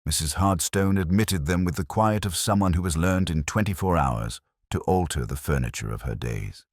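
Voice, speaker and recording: A man's voice: low-pitched, normal speed, dry recording, studio quality. A man reading a scripted literary mystery as its narrator.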